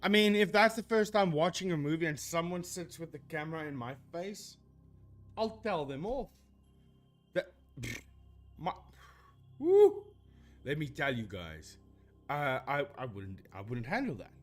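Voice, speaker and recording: Animated man rambling on stream. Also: a little high, unhurried, pauses often, faint music behind. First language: Afrikaans. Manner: rambling, self-correcting, animated. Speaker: streamer debunking ghost videos. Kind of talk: casual live-stream commentary.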